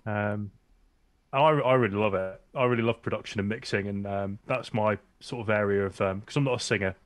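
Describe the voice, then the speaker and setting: A man in his thirties with a baritone voice, a music producer being interviewed over a video call, relaxed and self-deprecating. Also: a little fast, no echo. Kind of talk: casual interview chat. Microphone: studio microphone over video call.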